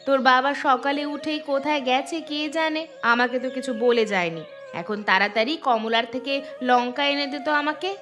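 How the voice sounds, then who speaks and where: A woman's voice: sits high, a little fast, soft background music. A woman voicing a careworn poor village mother with an earthy, nagging manner in a fairy-tale cartoon. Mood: brisk. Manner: nagging, earthy, sharp-tongued.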